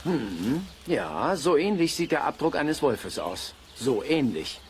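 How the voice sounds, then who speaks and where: A man's voice: medium pitch, sing-song, slow, a little hiss or hum. A man acting a skeptical, self-assured forest dog owner in a children's radio play, dry and dismissive in manner.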